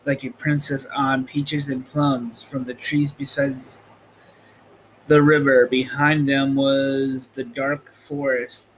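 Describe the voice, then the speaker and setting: A man hosting and reading a storybook aloud in a halting, amateur way, with casual asides. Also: mid-range voice, little pitch movement, poor audio. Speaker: host reading a book aloud. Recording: noticeable background noise.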